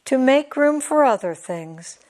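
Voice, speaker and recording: A woman voicing a patient mother tiger in a storybook, explaining things in a measured, gently instructive way. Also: high voice, expressive, pitched above the performer's own voice.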